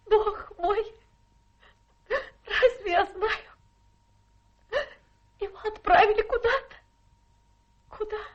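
Self-pitying adventuress, radio drama. Very high, unhurried delivery, plaintive, flirtatious, stagey tragic airs. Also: female voice, steady tone, dull audio. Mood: tearful, desperate. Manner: melodramatic, plaintive, coquettish. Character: theatrical, self-pitying adventuress feigning a tragic fallen lady. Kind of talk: theatrical radio play acting.

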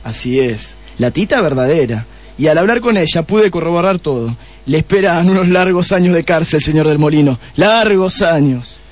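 Casting: cocky Argentine sleuth, high-pitched, self-satisfied, theatrical radio-play delivery. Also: male voice, lively intonation. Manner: self-satisfied, explaining with dramatic pauses. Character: cocky young male police detective, smug and theatrical. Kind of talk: comedic radio drama acting.

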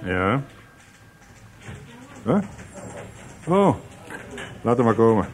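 An actor playing a sceptical, unimpressed police inspector in a Dutch radio play, questioning brusquely with sardonic hums. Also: male voice, medium pitch, big pitch swings, extremely slow, slight background noise. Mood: dry, sceptical.

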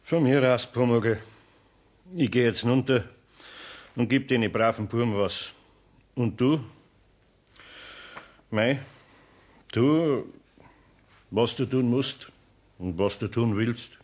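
Man playing older bavarian carpenter in a radio play, middle pitch, kindly gruff, stumbles over words. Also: slow, long pauses, a little mellow, lightly acted. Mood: resigned. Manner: flustered, hesitant, stammering. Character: good-hearted older male master carpenter, gruff but soft. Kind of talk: German radio play acting.

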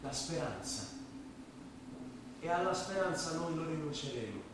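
A defiant male refugee under siege, high-pitched and declamatory. He is proud and desperate, heard from far off in a very echoey space with clear noise. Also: animated, unhurried. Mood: proud defiance. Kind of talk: stage drama acting. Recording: echoey theatre stage, distant, noisy.

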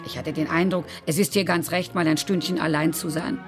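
A woman's voice: deep voice, steady tone, fairly quick, music in the background, close to an everyday voice. An actress playing a chatty, motherly carer who fusses in homely radio drama dialogue. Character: chatty, motherly woman carer, fussing kindly.

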